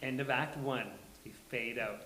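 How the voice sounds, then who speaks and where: A man's voice: mid-range voice, steady tone, unhurried, bright, forceful tone, a little hiss or hum. A man reading stage directions as the host of a live table read. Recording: roomy live recording.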